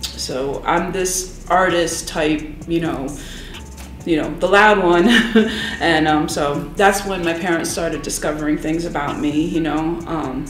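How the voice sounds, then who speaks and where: A woman's voice: sits low, measured pace. A middle-aged woman from Rhode Island, a singer chatting as an interview guest, with lots of ums and laughs. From Rhode Island, USA. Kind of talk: casual interview chat. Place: hotel room, indoors. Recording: roomy hotel room echo.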